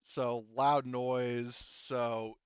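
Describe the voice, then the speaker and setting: Man, game master, smooth clean voice, close dry sound, casual profane storytelling. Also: medium pitch, level tone, drawn-out words, no room sound, highs cut off. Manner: crude, profane. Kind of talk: casual tabletop game narration.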